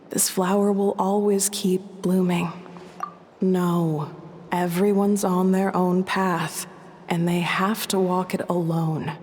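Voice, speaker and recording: A woman playing a gentle, wise female mentor who gives soft, caring advice. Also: mid-range voice, a little hiss or hum, lightly acted. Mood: tender. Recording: long hall reverb.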